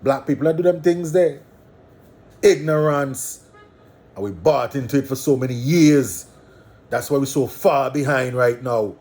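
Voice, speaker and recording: A man's voice: medium pitch, unhurried, a little mellow. A man from Antigua with an Antiguan accent, talking his views in a casual, preachy monologue, repeating phrases for emphasis.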